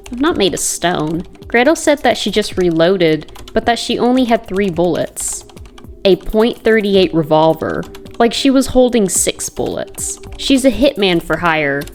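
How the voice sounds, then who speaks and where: Woman voicing determined young heroine, slow delivery, earnest, wry, guarded, comic dub narration, faint music. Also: medium pitch, animated. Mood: pensive, determined. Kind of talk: dramatic narration and dialogue. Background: background music.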